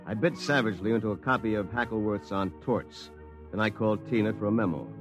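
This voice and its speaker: Wry lawyer narrator male actor, 1950s radio style: low, deadpan, over background music. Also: fast, lightly acted. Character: wry, hapless young lawyer telling his own story. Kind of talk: 1950s radio acting, first-person narration.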